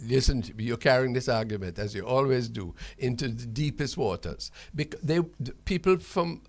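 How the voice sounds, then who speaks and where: A Jamaican man with a gravelly, creaky voice and a mid-range pitch, recorded dry and close. He hosts a spirited radio debate, choosing formal words and often repeating them as he goes. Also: expressive, moderate pace, no room sound. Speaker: radio host.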